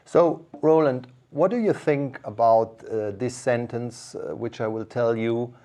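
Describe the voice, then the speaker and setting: A man's voice: mid-range voice, a little slow, mellow. Man interviewing casually, tentative phrasing.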